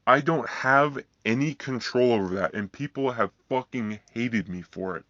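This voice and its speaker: A man ranting angrily in a profane, repetitive monologue, his voice flat and monotone. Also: medium pitch, moderate pace, no room sound. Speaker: vlogger. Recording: dull lo-fi dry recording.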